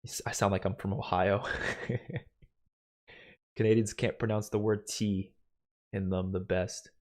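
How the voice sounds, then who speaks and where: A young man with a light New York accent, hosting a casual live stream Q&A from a small home room, animated and sweary. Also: on the low side, a little slow. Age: young adult. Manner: animated, swearing, laughs a lot. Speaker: streamer host. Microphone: studio mic on boom, headphones.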